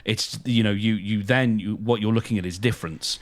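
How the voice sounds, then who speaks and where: Slightly low-voiced man, thoughtfully explaining. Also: fairly quick. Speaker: podcast co-host. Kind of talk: casual explanatory podcast chat.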